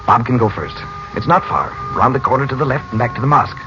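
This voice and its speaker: A man playing a protective, calm uncle in a 1940s radio adventure, brisk and commanding yet reassuring. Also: mid-range voice, close to an everyday voice. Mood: urgent, watchful. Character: protective, calm uncle leading his family through danger. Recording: dull vintage radio recording with music.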